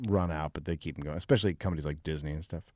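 A man's voice: extremely low pitch, fairly even intonation, rapid-fire, a little mellow, no echo. A man co-hosting a comedy podcast, chatting casually in a plain-spoken, blunt way. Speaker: podcast host. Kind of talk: casual chat.

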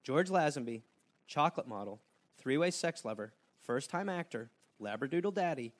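Animated comedian telling a story. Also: male voice, medium pitch, normal speed. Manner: animated, jokey, slips into silly put-on voices. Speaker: podcast host.

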